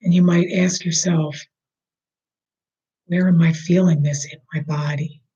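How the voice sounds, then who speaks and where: A meditation guide leading a slow, soothing breathing meditation, speaking softly and at times almost in a whisper. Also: mid-range voice, flat delivery, dull audio.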